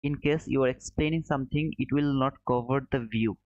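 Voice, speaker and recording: Casual male livestream host, friendly and chatty, mid-pitched, on a dull dry mic. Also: fairly quick, darkish tone, dry recording. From Bangladesh. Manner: friendly and chatty, calls viewers brother, says boom. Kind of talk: casual live-stream commentary, reading viewer chat. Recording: dull, slightly muffled, dry.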